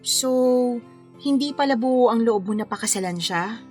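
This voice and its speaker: High-voiced sassy Filipina career woman, acted by a woman. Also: moderate pace, faint music behind, close to an everyday voice. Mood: probing. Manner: teasing, exclamatory, slangy. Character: sassy, playful career woman wedding planner, concerned confidante. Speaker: actress in a radio love-story drama. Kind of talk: Filipino radio drama dialogue.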